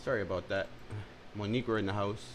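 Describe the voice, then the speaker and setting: A man's voice: on the low side, fairly even intonation, fast, noisy background. A man streaming, reacting to a clip with sarcastic, crude commentary and rambling asides to his chat.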